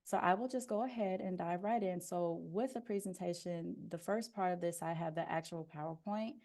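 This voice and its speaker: A soft-spoken woman walks viewers through an informational webinar. Her voice sits a bit low and carries a gravelly fry. She explains steadily with plenty of "um"s, speaking through a headphone mic on a video call. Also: normal speed. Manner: explanatory, steady, with frequent "um" fillers. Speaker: deputy procurement officer presenting a webinar.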